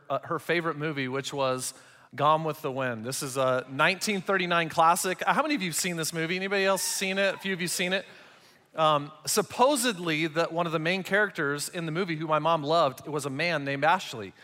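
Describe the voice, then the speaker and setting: A man preaching to a church crowd in a relaxed, joking way. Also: a little high, brisk. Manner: joking, conversational. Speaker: pastor. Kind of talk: sermon. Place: large church auditorium. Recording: long hall reverb.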